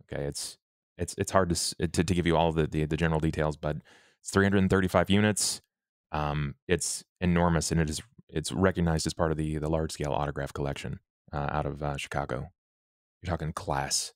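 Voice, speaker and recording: A man improvising a description as a tabletop player, halting and explanatory. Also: extremely low pitch, level tone, no room sound, clean studio sound.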